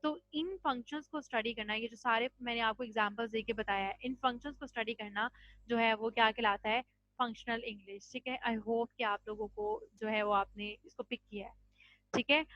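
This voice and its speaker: A woman teaches an English grammar class over a video call. Her voice sits a little high, and she explains patiently, pausing to check in after each point. The audio is dry and a bit muffled. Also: fairly even intonation, a bit bright, dry recording. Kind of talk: online class lecture. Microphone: computer mic on a video call.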